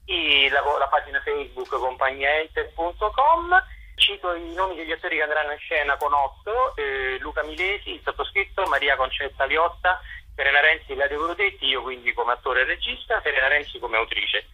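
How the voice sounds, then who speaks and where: A man giving a radio interview as a theatre director and actor, articulate and discursive, pausing to search for words. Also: fairly high pitch, animated, bright, forceful tone, breathy edge, lo-fi sound. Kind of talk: radio interview, explaining and promoting work.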